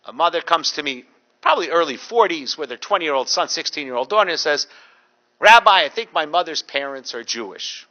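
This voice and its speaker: A middle-aged man gives a public talk as a rabbi, telling anecdotes with deadpan humor and little voices for the people in them. His voice is bright, with a high, lively pitch. The recording is dull and lo-fi. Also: fairly quick. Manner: animated, mimics voices in anecdotes. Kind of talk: storytelling lecture with dry humor.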